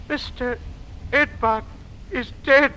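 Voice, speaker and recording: An actor playing a frightened, deferential house servant with folksy dialect in old radio acting. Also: male voice, very high-pitched, fairly even intonation, takes it slowly, bright, forceful tone, slight background noise, full character voice, rough recording. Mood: panicked.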